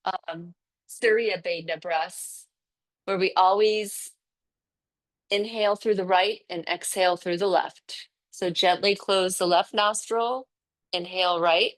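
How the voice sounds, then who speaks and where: A woman yoga instructor leading breathing and relaxation practice in a gentle, unhurried way, leaving pauses between instructions. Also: on the low side, steady tone, poor audio.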